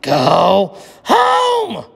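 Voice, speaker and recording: A man's voice: sits high, huge pitch swings, extremely slow, creaky, fairly bright tone, slightly rough, voice pushed up, exaggerated voice. A man voicing a bossy, boastful young boy who hollers threats in a children's storybook read-aloud. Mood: bossy, hollering. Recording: echoey room with long reverb.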